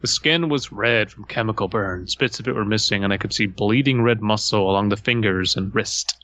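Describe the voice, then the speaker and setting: A man's voice: on the low side, normal speed, a bit bright, slight background noise. A man hosting a podcast, reading a horror story aloud and bantering casually.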